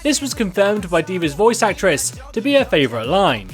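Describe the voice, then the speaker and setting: A man's voice: high voice, big pitch swings, moderate pace, loud music behind the voice. A man hosting and narrating a scripted video. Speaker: host and narrator.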